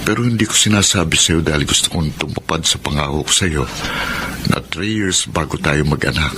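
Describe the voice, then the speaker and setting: A patient, wistful husband in a radio drama. His very low voice is hesitant and gentle as he pleads, with clear background noise on the recording. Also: brisk. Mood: gentle pleading.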